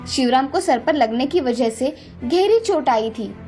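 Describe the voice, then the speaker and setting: A woman's voice: high voice, animated, measured pace, a little mellow, soft background music. A woman narrator reading a scripted moral story for children.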